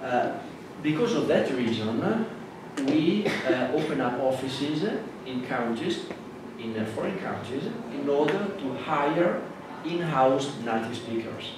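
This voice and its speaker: A man with an Italian accent, a native Italian speaker, giving a classroom lecture in a halting way with many fillers and restarts. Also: mid-range voice, animated. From Italy. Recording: echoey hall from far off with noise.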